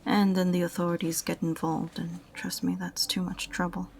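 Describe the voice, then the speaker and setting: Woman doing a sarcastic witch librarian for fantasy audio rp, soft n breathy w lots of vocal fry, kinda fed up, slight hiss. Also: on the low side, level tone, moderate pace. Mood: dry, reluctant. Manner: dry, exasperated, condescending. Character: unenthusiastic, sarcastic witch librarian, grudgingly helpful. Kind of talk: audio roleplay acting addressed to the listener.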